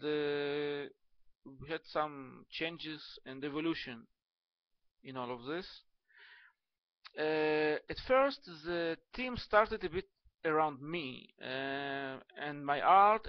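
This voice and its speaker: A young man with a Russian-tinged accent talks slowly and haltingly, full of fillers and restarts. His voice sits a little high for a man. The recording is dry and slightly dull. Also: no room sound. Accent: Russian and Hebrew. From Ukraine. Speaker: video blogger. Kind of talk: casual to-camera talk.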